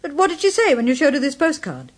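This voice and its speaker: An actress playing a detective's gracious, inquisitive wife in a British radio drama. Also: female voice, high voice, sing-song, fast talker. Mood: curious.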